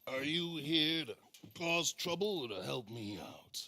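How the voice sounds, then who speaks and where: A stern, menacing lawman of the frontier in a game monologue. He speaks very slowly and forcefully, with big theatrical swings of pitch and grandiose threat. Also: lively intonation, a little hiss or hum, exaggerated voice. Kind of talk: dramatic game cutscene monologue.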